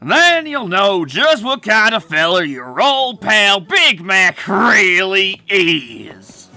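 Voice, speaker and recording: Proud, grudge-holding cartoon stallion played by a man; folksy, slow-paced, gravelly yet bright, music underneath. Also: mid-range voice, expressive, dry recording, full character voice. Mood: smug, nostalgic. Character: proud, bitter male pony racer with old grudge. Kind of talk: comic dub character acting.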